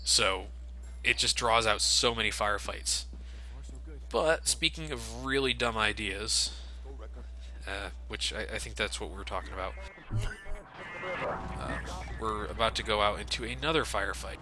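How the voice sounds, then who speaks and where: A man's voice: fairly low pitch, measured pace, pauses often, sharp tone. A man giving casual, joking, sarcastic commentary as he plays a video game, swearing freely. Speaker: Let's Play commentator playing the game. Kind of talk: casual gameplay commentary with profanity. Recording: game gunfire behind the voice. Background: video game gunfire and ambience.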